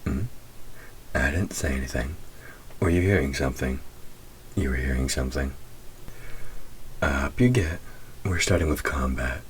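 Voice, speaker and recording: An extremely deep male demon voiced by a man speaks directly to the listener in an audio roleplay. He taunts and teases like a predator toying with a trainee hunter, with menace under the words. A faint background noise sits behind the voice. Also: normal speed, long pauses. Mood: sardonic, impatient. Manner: teasing, menacing, drops into whispers.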